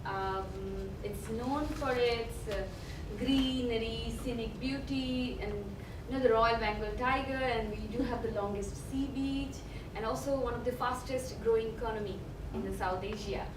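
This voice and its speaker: A woman's voice: on the high side, normal speed, hardly any pauses. Distant young woman from Bangladesh speaking. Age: young adult. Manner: hesitant, many fillers and restarts. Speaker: youth activist speaker. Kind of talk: panel talk. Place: event hall. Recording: echoey room, from far off, noisy.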